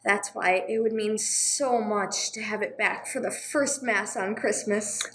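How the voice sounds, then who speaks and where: A woman playing a gentle, earnest, sorrowful nun in a radio play, speaking with some hesitation. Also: medium pitch, steady tone, a little slow. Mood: wistful, sad. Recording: clear background noise, room ambience.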